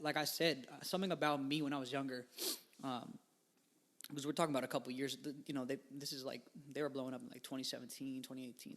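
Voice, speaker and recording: A man's voice: medium pitch, a bit bright. A young man in his twenties, a musician guest on a podcast, talking casually in a rambling way with lots of fillers and slang. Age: 20s. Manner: rambling, filler-heavy, laid-back slang.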